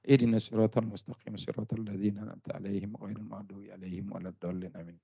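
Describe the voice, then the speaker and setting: Fast-talking preacher man, lo-fi sound. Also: on the low side, gravelly, husky. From Lampung, Indonesia. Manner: lively storytelling, laughs often. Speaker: Islamic preacher. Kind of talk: religious talk with anecdotes. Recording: dull lo-fi sound.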